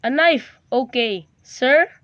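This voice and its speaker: A woman's voice: sits high, animated, takes it slowly, a bit bright, dry recording, full character voice. A woman playing an obedient hired killer in an amateur radio play, brief and deferential. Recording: dull, dry lo-fi audio.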